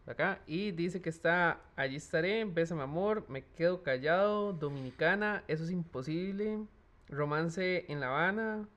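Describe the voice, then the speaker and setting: Male co-host, slightly high voice, halting, reads song lists. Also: fairly bright tone. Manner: halting, many fillers. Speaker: studio co-host. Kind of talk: reading track lists aloud.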